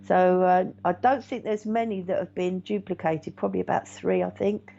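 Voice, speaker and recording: A middle-aged woman, a meditation teacher and medium, chatting gently and reassuringly to viewers with many fillers. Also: fairly low pitch, fairly even intonation, normal speed, vocal fry, a little hiss or hum. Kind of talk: casual live-stream chat reading viewer comments. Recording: dull lo-fi stream audio.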